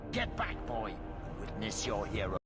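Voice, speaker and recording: Menacing low-voiced slaver. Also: male voice, big pitch swings, normal speed. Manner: threatening, haughty. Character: menacing, sneering male slaver holding a hostage. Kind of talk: video game cutscene acting. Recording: loud music behind the voice.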